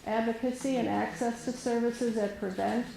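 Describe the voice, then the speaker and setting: Soft-voiced woman with a gravelly tone. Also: fairly high pitch, fairly even intonation, normal speed, slight background noise. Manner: hesitant, many fillers and restarts. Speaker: resource specialist giving a presentation. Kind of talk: informal presentation to an audience. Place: meeting room with an audience. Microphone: room microphone recorded for camera. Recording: roomy echo.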